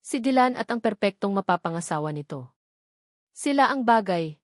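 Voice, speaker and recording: A woman's voice: medium pitch, lively intonation, normal speed, dry recording. Woman narrating a drama, dry close sound. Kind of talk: scripted dramatic story narration.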